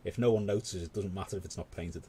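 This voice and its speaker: A man's voice: low-pitched, steady tone, fast talker, mellow, slight background noise. A British man hosting a paint-along stream, chatting casually with rambling asides. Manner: rambling, conversational, full of asides. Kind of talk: casual chat while painting.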